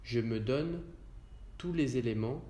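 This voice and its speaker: A man leading a guided relaxation as a yoga instructor, speaking calmly and in measured, short, spaced phrases. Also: mid-range voice. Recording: roomy echo around the voice.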